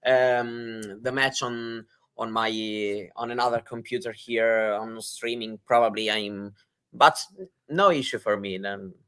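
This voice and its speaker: Slow, halting man analysing tennis. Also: lowish voice, fairly even intonation, a bit bright, clear, resonant tone. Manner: hesitant, many fillers and restarts. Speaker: tennis co-commentator.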